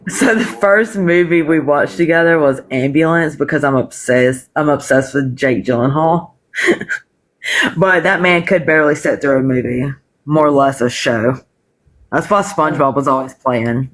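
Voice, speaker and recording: A woman with a deep, smooth voice and a country twang, a guest talking candidly and swearing freely in a casual live chat. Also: normal speed. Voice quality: deep voice with bass; smooth, calming. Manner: candid, frequent swearing and laughter.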